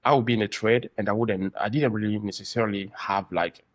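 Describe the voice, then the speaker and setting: A man hosting a casual podcast interview, speaking in halting, deliberate phrases. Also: lowish voice, fairly even intonation, no room sound. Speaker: podcast host, trader.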